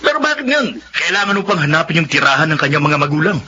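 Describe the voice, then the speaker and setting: A man's voice: fairly high pitch, very animated, quick pace, pushed and bright, slightly rough, muffled recording, exaggerated voice. An actor playing a blunt, skeptical young man in a radio drama, pushing back with pointed questions.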